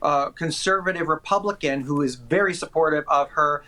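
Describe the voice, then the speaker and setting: Guy doing chatty movie reviews, super emphatic and opinionated, bright punchy voice. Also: on the high side, normal speed. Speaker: film critic reviewing new movies.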